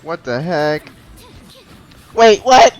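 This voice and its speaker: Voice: medium pitch, big pitch swings, very slow, highs cut off. A gamer giving casual, informal, reactive commentary over a video game playthrough. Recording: game music behind the voice. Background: game music.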